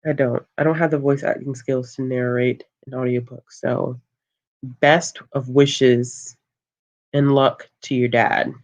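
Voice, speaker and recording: A book channel host chatting casually on a livestream, reading out viewer comments in a calm, low voice, relaxed and laughing often with lots of ums. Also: unhurried.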